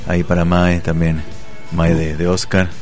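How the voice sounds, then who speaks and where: Low-voiced man mixing languages. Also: monotone, normal speed, faint music behind. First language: Spanish. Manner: mixes Spanish into Portuguese. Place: radio studio.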